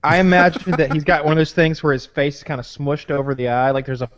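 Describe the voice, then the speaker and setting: Male podcast co-host, casual crude chat, slightly high voice. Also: little pitch movement, quick pace, a bit bright. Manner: rambling, crude, many restarts and fillers.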